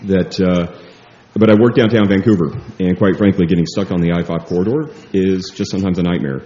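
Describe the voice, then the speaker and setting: A man stumbles a little through a casual public comment at a civic meeting, with a loud voice. His voice is very low and dark. The room sounds echoey and muffled. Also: steady tone. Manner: nervous, halting, self-deprecating.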